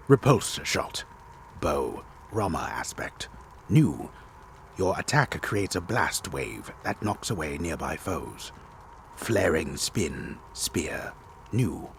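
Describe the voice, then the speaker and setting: A man playing a grave, disembodied storyteller, reading dramatically in a low, gravelly voice with solemn, deliberate delivery. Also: a little hiss or hum, heavily acted. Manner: deliberate, solemn, clipped announcements. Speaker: narrator.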